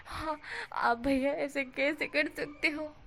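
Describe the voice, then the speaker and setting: A woman's voice: fairly even intonation, slow, creaky, a bit bright, a little hiss or hum, exaggerated voice. A woman putting on a wailing, whiny young man. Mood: wailing, whining. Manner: sobbing, high-pitched wailing. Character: whiny young man wailing about his sore back.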